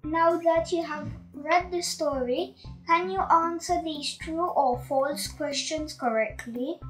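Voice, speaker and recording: A woman reading a children's bedtime story aloud with slow, deliberate enunciation and hosting a quiz on it. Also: high voice, soft background music, poor audio.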